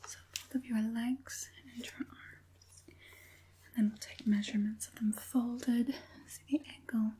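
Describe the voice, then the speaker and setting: A woman playing an evil witch puppet master in an ASMR roleplay, whispering softly in a slow, soothing and quietly persuasive way. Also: fairly high pitch, fairly even intonation, slight background noise, close to an everyday voice. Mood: calm, methodical. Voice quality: breathy whisper.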